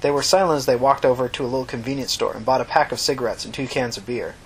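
A woman's voice: extremely low pitch, fast talker, slight background noise. A woman reading scripted novel narration for a fiction podcast.

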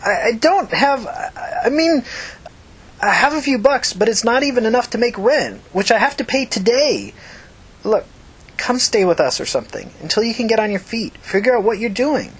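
Lady narrator doing streetwise young woman in a novel, caring but blunt, higher voice, really animated. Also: normal speed, slightly rough. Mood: flustered, apologetic. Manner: urgent, earnest, plain-spoken, profane. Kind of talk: audiobook character acting.

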